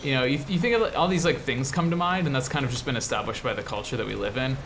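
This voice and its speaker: A man's voice: medium pitch, quick pace, gravelly, a bit bright. Male American game show host, mid-range voice, casual, enthusiastic explainer, noticeable background noise. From US Midwest. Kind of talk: casual game commentary while playing.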